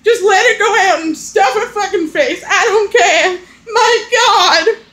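An extremely high-pitched old woman in a comedy skit. She is exasperated and hot-tempered, with a wailing, dramatic way of complaining and a foul mouth. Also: measured pace, a bit bright. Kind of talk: comic household argument skit.

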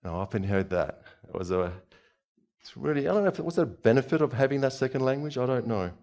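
A middle-aged preacher born in Czechoslovakia, speaking through a headset mic on a church stage. He talks in a relaxed, chatty way, often turning to the crowd with questions. His voice sits at an ordinary, middle pitch. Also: quick pace. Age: 40s. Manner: chatty, questions the audience, moves about gesturing. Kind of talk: conversational sermon.

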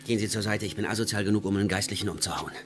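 An actor in a radio crime drama playing a cornered fugitive who talks in a slangy, dry, irritable way. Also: male voice, lowish voice, racing, reverberant, over music, lightly acted. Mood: sardonic. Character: cornered, sardonic male fugitive, rough-mouthed.

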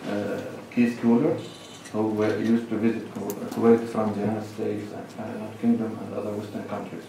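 A middle-aged man with a Lebanese accent delivers a measured conference talk at a lectern, setting out his points one by one. His voice sits a little low. It is picked up from across a large lecture hall, with heavy echo and faint room noise. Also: monotone, creaky, dark tone. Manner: measured, lists points with pauses. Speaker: conference speaker. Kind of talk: academic presentation. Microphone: lectern microphone, far camera. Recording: echoey hall recorded from far off.

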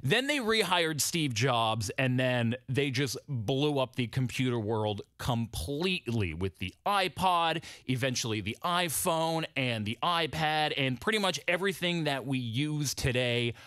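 A man's voice: mid-range voice, animated, a bit bright, dry recording. A man, hosting morning radio in upbeat, emphatic DJ patter, close on a studio mic. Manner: emphatic, with repeated words and drawn-out stresses. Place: small radio studio indoors. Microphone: close studio broadcast microphone.

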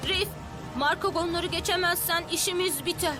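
A woman's voice: extremely high pitch, steady tone, normal speed. A woman playing a confident young boy hero in a Turkish-dubbed action cartoon, bossy and encouraging to teammates. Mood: coaching. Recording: music behind the voice.

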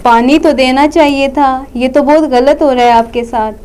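A woman's voice: sits high, fairly even intonation, normal speed, darkish tone, a little hiss or hum. A young woman hosting a children's holiday puppet show from a TV studio, chatting with the puppets. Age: young adult. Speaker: TV host.

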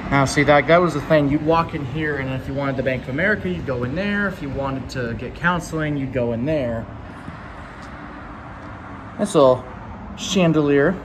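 A man's voice: fairly high pitch, normal speed. Man vlogging casually outdoors over traffic noise. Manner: dry, self-deprecating sarcasm. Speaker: urban exploration vlogger. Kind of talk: casual improvised walking-tour commentary. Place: outdoors, walking around a building exterior. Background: passing cars, outdoor urban ambience.

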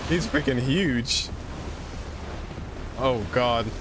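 A man's voice: mid-range voice, extremely slow, background noise. A young man casually reacting live to game cutscenes, slangy and full of awed exclamations, with game music behind him. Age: young adult.

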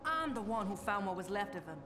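A woman's voice: medium pitch, sing-song, fairly quick, background noise, natural voice. Actress as a wry, laid-back woman survivor. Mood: defensive. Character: wry, drowsy rebellious young woman survivor. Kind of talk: video game voice acting.

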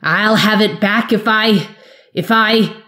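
A woman's voice: mid-range voice, takes it slowly, pushed and bright, voice pushed up. A woman voicing a vengeful male wizard whose voice quavers with fury. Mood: furious.

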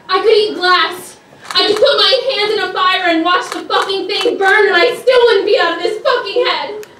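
Tormented woman, played by an actress, high voice, raw theatrical confession, roomy far-off stage sound. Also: fairly bright tone. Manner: blunt, profane, intense. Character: tormented, guilt-ridden woman confessing a dark past. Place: competition stage, indoors. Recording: echoey stage, distant mic, faint noise.

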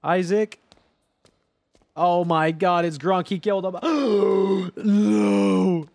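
A young man in his twenties streaming a horror game from home on a headset mic, joking loudly and swearing as he talks to chat. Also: sits high, very slow, gravelly, no room sound. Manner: loud, swearing, joking, animated. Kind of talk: casual gameplay commentary with chat. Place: home gaming room, indoors.

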